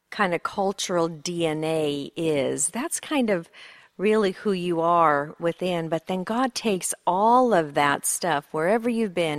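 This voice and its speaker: Dry-sounding, lower-voiced Swedish-born woman. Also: no echo. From Sweden. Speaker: pastor's wife leading a women's session. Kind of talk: encouraging talk and prayer. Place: church conference hall.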